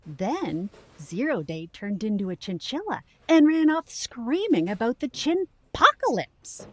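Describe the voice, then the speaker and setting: Woman acting as sharp, caring date partner, audio drama, unhurried pace, lively swooping pitch, pointed delivery. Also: dry recording. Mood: playful.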